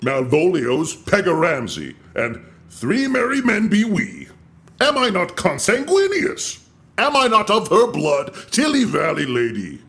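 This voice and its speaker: A man's voice: sits high, huge pitch swings, gravelly, fairly bright tone, full character voice. An actor playing a rowdy, drunken carousing knight in a theatrical Shakespearean audio play, drawing out his declamations. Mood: defiant. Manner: rowdy, drawn-out, declamatory delivery.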